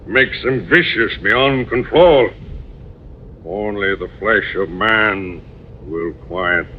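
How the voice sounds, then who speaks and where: An actor playing an ominous, solemn servant of a desert king in a 1940s style radio drama, making portentous pronouncements. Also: on the low side. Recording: muffled, hissy old radio recording.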